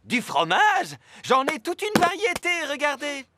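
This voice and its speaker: A man's voice: extremely high pitch, huge pitch swings, unhurried, background noise, full character voice. A man voicing an eager, chatty male fox salesman with theatrical patter in a children's cartoon. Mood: eager, pushy. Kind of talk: French cartoon dub acting.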